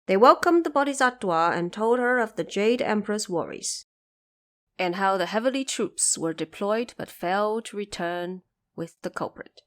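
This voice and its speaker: A female narrator tells a scripted story for an audio drama. Her delivery is measured, in a storyteller's style, at a medium pitch. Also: animated, fairly bright tone.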